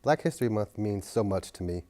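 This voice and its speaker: A man, a city council member, speaking earnestly and at a measured pace in an interview. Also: fairly low pitch, lively intonation, dark tone. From New York. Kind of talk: interview reflection.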